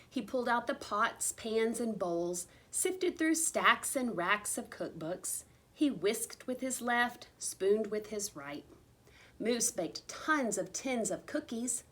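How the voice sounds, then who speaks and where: Female storybook reader, unhurried and highly varied in pitch, with theatrical emphasis. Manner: theatrical, drawn-out emphasis on repeated words. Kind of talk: expressive picture-book read-aloud.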